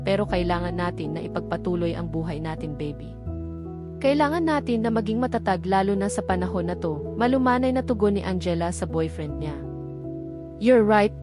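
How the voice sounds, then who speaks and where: A woman with a Filipino accent reading a scripted romance story as narrator, dialogue included. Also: mid-range voice. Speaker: audiobook narrator. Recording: music bed behind the voice.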